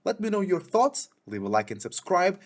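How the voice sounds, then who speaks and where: A man's voice: sits high, moderate pace. A young man from Portugal with a Portuguese accent, hosting a casual, chatty football preview on his own channel. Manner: animated, chatty, slangy with "bro".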